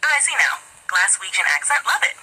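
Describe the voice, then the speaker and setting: A woman's voice: mid-range voice, animated, a little fast, pushed and bright, breathy edge, poor audio. A woman's synthetic assistant voice, upbeat and curious, chatting and asking lots of questions. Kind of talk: friendly chatbot conversation.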